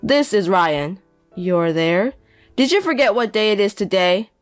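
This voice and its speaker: A woman's voice: medium pitch, lively intonation, unhurried, dry recording, soft background music, natural voice. A woman voicing a cold, contemptuous grown son.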